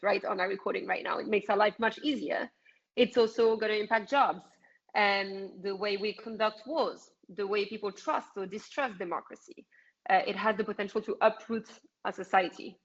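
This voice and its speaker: A woman's voice: mid-range voice, dull audio. A woman from France with a French accent, giving blunt, passionate interview answers over a video call, with frequent ums. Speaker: activist guest.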